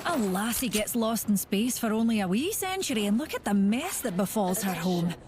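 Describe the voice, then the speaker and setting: A woman's voice: medium pitch, sing-song, moderate pace, natural voice. An actress voicing an eccentric, motherly scientist in a video game, with a Scottish accent and a wistful, theatrical, musing delivery. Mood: whimsical, musing. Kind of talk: game character monologue. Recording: faint noise and ambience behind.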